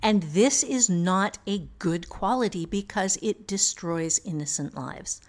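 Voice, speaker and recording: Woman, mid-range pitch, casual discussion, emphatic, moralizing, podcast co-host. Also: unhurried.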